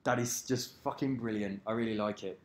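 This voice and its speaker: A man's voice: lowish voice, fairly even intonation, brisk. A man rambling casually to camera, swearing freely and losing track of names. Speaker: vlogger. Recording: echoey room.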